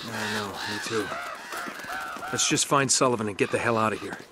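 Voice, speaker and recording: A man's voice: moderate pace, close to an everyday voice. An American man in his thirties playing a wry, cautious everyman adventurer in a video game, casual and sardonic. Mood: wary, hushed. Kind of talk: cinematic video game acting. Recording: loud game music and engine rumble.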